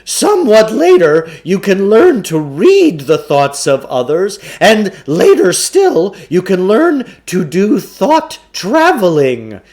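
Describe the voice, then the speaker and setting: Man putting on an eccentric, high-pitched, grandiose lecturer. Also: a little mellow, heavily acted. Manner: pompous, theatrical, deliberate. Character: eccentric self-important mind-control salesman and lecturer. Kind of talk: children's novel read aloud in character.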